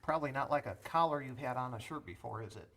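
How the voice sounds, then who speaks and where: A man's voice: mid-range voice, animated, fast talker, a bit bright. A man working as an EMT, talking a child patient through each step simply and reassuringly. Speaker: EMT with a rescue squad.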